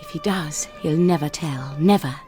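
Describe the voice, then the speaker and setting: An actress playing a gentle, composed young English wife, narrating and acting in a radio drama. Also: female voice, sits low, moderate pace, faint music behind, lightly acted. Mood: concerned, loyal.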